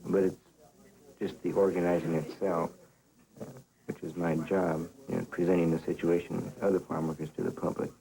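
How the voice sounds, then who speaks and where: A man speaking reflectively in a documentary interview, earnest and measured, with halting phrasing. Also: sits low, little pitch movement, gravelly, dark tone, low-quality recording. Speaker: boycott coordinator and organizer.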